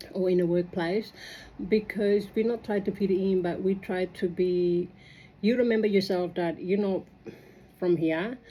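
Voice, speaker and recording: A woman with a fairly low, soft-edged mellow voice speaks English as a second language. She talks casually and reflectively about her own experience, earnest and halting, often circling back as she rambles. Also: husky, low-quality recording. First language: non-native English speaker. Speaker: migrant sharing personal experience.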